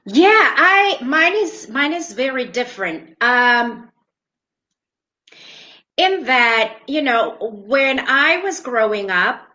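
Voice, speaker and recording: A woman's voice: high-pitched, sing-song, slow pace, bright, forceful tone, poor audio. A South African woman whose first language is Sepedi, co-hosting a casual podcast in an upbeat, chatty way. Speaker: podcast co-host.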